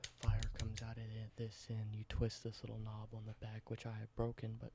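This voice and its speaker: A man in a whispered ASMR roleplay, softly explaining everyday objects slowly and simply. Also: fairly low pitch, monotone, gravelly, slight background noise. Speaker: ASMR creator.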